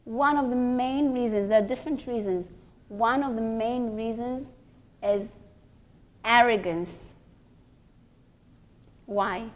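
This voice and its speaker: A woman with a fairly high voice gives a religious lecture. She speaks deliberately and emphatically, breaking her thoughts into short phrases with pauses. She often poses rhetorical questions as a teacher would. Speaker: religious teacher giving a class for women.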